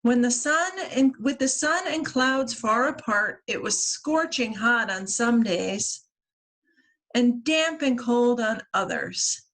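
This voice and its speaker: A woman reading a children's storybook aloud as a librarian at story time, with expressive, deliberate phrasing. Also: fairly high pitch. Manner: expressive, deliberate phrasing with acted-out character lines.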